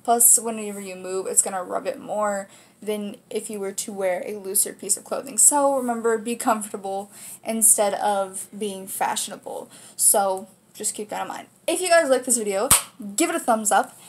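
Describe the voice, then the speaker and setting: Casting: American woman, late teens, mid-pitched voice, informal vlog host who explains things in a loose, rambling chat. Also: moderate pace, a little mellow. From United States, Kansas. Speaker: video host. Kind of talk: casual vlog chat to camera. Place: small room indoors.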